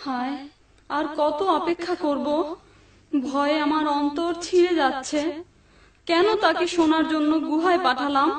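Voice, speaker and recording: An actress playing an anxious, fretful wife in a cartoon folk tale, with dramatic delivery. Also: female voice, sits high, measured pace. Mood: anguished.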